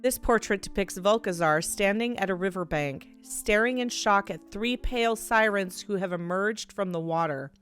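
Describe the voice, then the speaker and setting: A woman's voice: medium pitch, moderate pace, hardly any pauses, a bit bright, faint music behind. A woman game streamer reading a visual novel aloud and reacting expressively in a live let's play.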